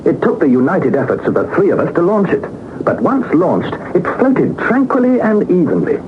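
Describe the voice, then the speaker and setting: An actor playing an earnest young British adventurer who tells of a perilous journey in a dramatic, literary 1960s radio drama style. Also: male voice, high-pitched, sing-song, normal speed. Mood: wondering. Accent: British English. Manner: dramatic, literary storytelling with rhetorical flourishes. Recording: muffled, noisy 1960s radio recording.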